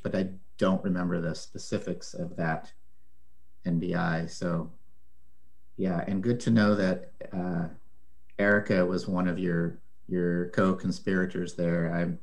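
Deep-voiced man hosting an interview. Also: a little mellow. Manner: thoughtful, hesitant with repeated words. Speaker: interviewer, host. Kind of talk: conversational interview.

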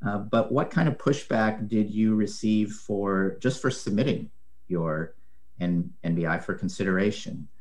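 A man's voice: on the low side, unhurried, a little mellow. A man hosting an interview, asking questions in a thoughtful, hesitant way with repeated words. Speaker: interviewer, host. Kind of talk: conversational interview.

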